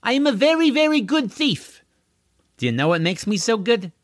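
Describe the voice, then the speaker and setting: A man's voice: very high voice, very animated, normal speed, no room sound, studio quality, pitched above the performer's own voice. A man voicing a brash, boastful young woman thief in a storybook, with a cocky, insistent manner.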